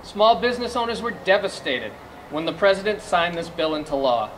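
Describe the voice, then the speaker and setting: A man's voice: sits high, expressive, a little fast, fairly bright tone, a little hiss or hum, poor audio. A man with a Massachusetts accent delivers a prepared political speech at a rally, speaking formally and emphatically as an advocacy spokesman. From Massachusetts, United States. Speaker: small business advocacy spokesman.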